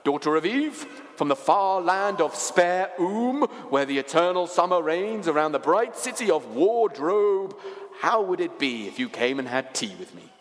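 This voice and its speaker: A man's voice: a little high, sing-song, unhurried, in a large hall, pitched above the performer's own voice. A man voicing a small, friendly, fussy male faun from a storybook, stammering and courteous, with formal old-fashioned speech. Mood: inviting.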